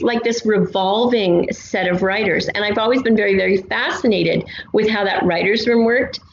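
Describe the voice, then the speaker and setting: A middle-aged American woman talks casually as an interview guest, explaining at length like a storyteller. Her voice sits at a medium pitch. The recording is roomy and slightly dull, with faint noise behind it. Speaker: guest, audio drama writer. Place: untreated city apartment room.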